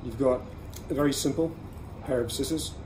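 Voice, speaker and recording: A man's voice: medium pitch, normal speed. Man chatting over noticeable background noise. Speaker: podcast host reviewing everyday carry products. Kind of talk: casual product review monologue. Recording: clearly audible ambient background noise.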